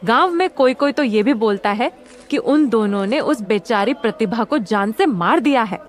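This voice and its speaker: A woman's voice: fairly high pitch, lively intonation, normal speed, natural voice. A woman voicing a frank, gossiping young village friend in a cartoon story, with an exclaiming manner. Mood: alarmed warning. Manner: exclaiming, warning.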